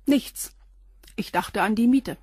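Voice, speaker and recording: An actress playing a reserved, respectable concierge of about forty, guarded and matter-of-fact in a radio crime drama. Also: female voice, on the high side, slow, dark tone, slightly rough, no room sound, clean studio sound.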